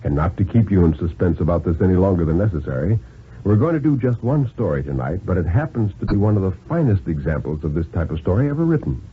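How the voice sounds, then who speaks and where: Expressive American radio storyteller, lively male voice. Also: sits low, quick pace, a little hiss or hum. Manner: expressive, dramatic narration. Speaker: storyteller reading thriller tales. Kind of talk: 1950s radio dramatic reading. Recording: narrow 1950s radio, music behind.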